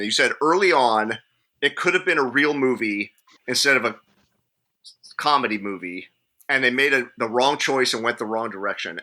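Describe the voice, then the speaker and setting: Bright-voiced man chatting about movies. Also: medium pitch. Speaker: podcast panelist. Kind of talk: casual movie discussion.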